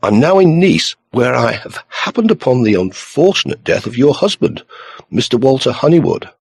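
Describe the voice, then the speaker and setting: A man voicing a steady male police inspector in an audiobook, polite and businesslike. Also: mid-range voice, normal speed, vocal fry, slightly rough, no echo, studio quality, pitched above the performer's own voice, exaggerated voice. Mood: careful, sympathetic.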